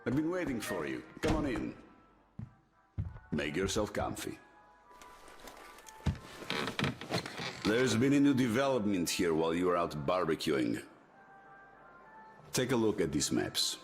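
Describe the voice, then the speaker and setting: An actor playing a middle-aged military commander in a game drama, chummy and persuasive but ruthless and used to giving orders. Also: male voice, low voice, very animated, normal speed, long pauses, music in the background. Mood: jovial, hospitable. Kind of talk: dramatic video game cutscene acting.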